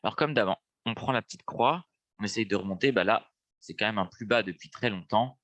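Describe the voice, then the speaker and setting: Man with a cold, low voice, nasal, casual teaching with fillers, dry close mic. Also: a little fast, a bit bright, no echo. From France. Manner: casual, thinking aloud with frequent fillers. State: stuffy nose from a cold. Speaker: trading webinar host. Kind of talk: live screen-share trading lesson.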